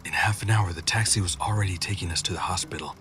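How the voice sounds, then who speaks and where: A man's voice: deep voice, fast talker, a bit bright, recorded from a distance, a little hiss or hum. A man narrating a scripted first-person scary story as a horror storyteller.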